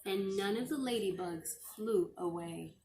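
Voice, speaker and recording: A middle-aged woman reads a storybook aloud for young children. Her delivery is slow and deliberate, with expressive, drawn-out phrases at a medium pitch. There is some faint noise in the recording. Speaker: teacher reading aloud.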